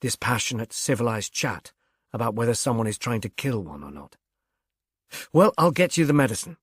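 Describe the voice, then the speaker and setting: A man's voice: medium pitch, animated, moderate pace, husky, no room sound, clean studio sound. A man voicing a civilized grown son in a storybook, casual and wry, with a light, self-deprecating manner. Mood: dazed.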